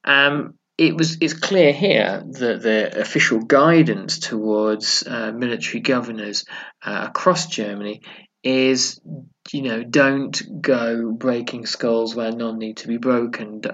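Halting man explaining history, dull recording. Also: medium pitch, a bit bright. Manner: thinking aloud, explanatory, halting with many pauses. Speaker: history podcast host. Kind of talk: unscripted history lecture with read-out passages.

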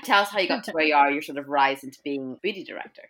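A woman's voice: sits low, rapid-fire, bright, forceful tone. A woman hosting a casual interview, asking friendly questions. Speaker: interviewer, host.

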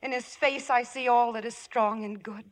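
A woman's voice: on the high side, fairly even intonation, moderate pace, close to an everyday voice. A woman playing an earnest, devout young villager who speaks with reproachful feeling in 1950s radio drama. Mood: devoted.

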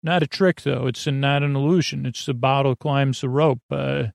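Gravelly, meandering man hosting a sleep podcast. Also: mid-range voice, steady tone, no echo, clean studio sound. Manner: meandering, halting, drifts off on tangents. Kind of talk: rambling, conversational bedtime monologue.

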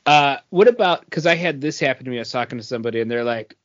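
A man talking informally as a co-host in a relaxed podcast conversation. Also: medium pitch, rapid-fire. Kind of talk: casual chat. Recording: slightly dull but dry recording.

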